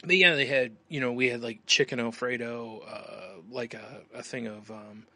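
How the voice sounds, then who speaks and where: A man's voice: medium pitch, normal speed, bright, forceful tone, dry recording. A man co-hosting a podcast, telling a rambling story in casual chat with lots of fillers and frequent laughs. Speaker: podcast co-host.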